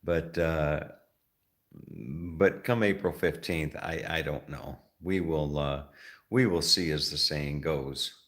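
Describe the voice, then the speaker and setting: A man from the United States with an extremely low, deep voice, talking loosely to the camera as a travel vlogger. He rambles, halts and restarts, filling gaps with "uh" and "you know". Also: fairly bright tone. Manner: rambling, halting, many fillers and restarts. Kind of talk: casual vlog talk.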